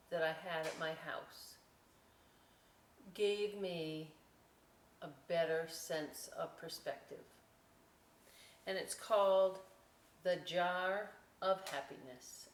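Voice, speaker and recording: A woman hosting a children's story time, reading a picture book aloud and chatting through the pictures in a conversational way. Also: on the low side. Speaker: librarian story time host. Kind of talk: picture-book read-aloud with casual asides. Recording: roomy, distant mic with clear noise.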